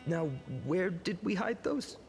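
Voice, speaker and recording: A man's voice: high-pitched, slow pace, vocal fry, soft background music, full character voice. A man playing an earnest, friendly, boyish village youth in scripted video game dialogue. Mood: nostalgic, lively.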